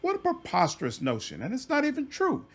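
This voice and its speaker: A man voicing a fussy, earnest woman scholar in a high, indignant voice. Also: wildly swooping pitch, fairly quick, exaggerated voice.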